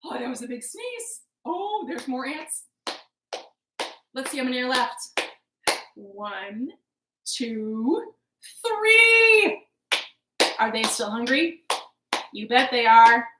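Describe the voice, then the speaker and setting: High-voiced, unhurried woman hosting children's storytime. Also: heavy background noise. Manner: animated, encouraging, playful exaggeration for small children. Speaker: children's storytime host at a public library. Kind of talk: children's storytime, rhymes and counting. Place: living room at home. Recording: roomy, echoing home recording.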